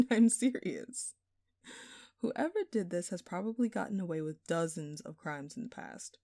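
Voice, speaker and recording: Woman playing a smug, flirty thief, low mellow voice. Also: expressive, unhurried, dry recording, studio quality. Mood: smug, teasing, then sly, mock-innocent. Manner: teasing, sly, mock-innocent. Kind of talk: audio roleplay acting addressed to the listener.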